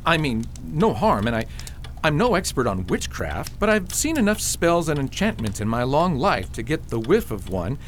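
Old fantasy villager male actor, frank and emphatic, lively intonation, background noise on the recording. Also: on the high side, normal speed. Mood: gruff concern. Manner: matter-of-fact, advising, emphatic. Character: old father, plain-spoken, seasoned fantasy islander. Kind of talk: fantasy radio drama acting.

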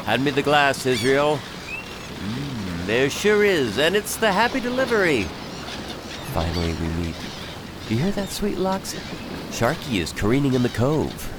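A brooding pirate captain bent on revenge, played by a man in an audio drama. He is measured and commanding, and his pitch moves widely. Faint noise sits behind the voice. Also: very animated. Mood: grimly eager. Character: vengeful, brooding pirate captain, hardened by grief.

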